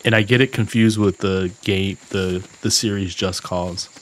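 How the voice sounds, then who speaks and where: Relaxed low-voiced streamer guy. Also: measured pace. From USA. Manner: relaxed, chatty, talks to chat. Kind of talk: casual gameplay commentary.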